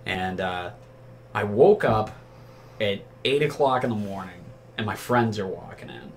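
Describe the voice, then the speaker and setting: A man's voice: fairly low pitch, expressive, a little slow, a little hiss or hum. A man telling casual anecdotes among friends in a rambling, profane, incredulous way. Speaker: storyteller.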